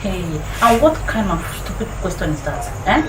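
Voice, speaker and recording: A woman's voice: mid-range voice, big pitch swings, normal speed, background noise, close to an everyday voice. A woman with a Nigerian accent acting an overwrought, scolding village woman in a melodramatic film, repeating herself insistently. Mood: indignant. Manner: repeats names and phrases insistently. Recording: roomy, distant, loud music behind.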